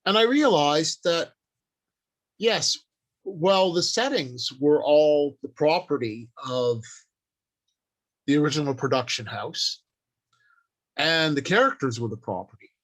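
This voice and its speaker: Slow-paced man giving an informal talk. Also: fairly high pitch, sing-song, a bit bright. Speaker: writer and convention panel speaker. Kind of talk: informal instructional talk, partly improvised from notes.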